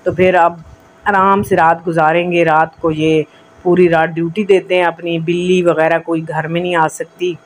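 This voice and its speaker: A woman's voice: lowish voice, flat delivery, normal speed, poor audio. A woman from Pakistan with a Pakistani accent narrates a casual vlog voice-over, chatting directly to her viewers. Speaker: vlogger. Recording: noticeable background noise.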